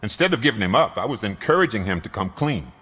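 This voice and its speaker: A man's voice: fairly low pitch, very animated, fast talker, a bit bright, slightly rough, big-room sound, exaggerated voice. A man playing a protective, world-weary shop owner in a video game, earnest and plain-spoken. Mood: earnest, defensive.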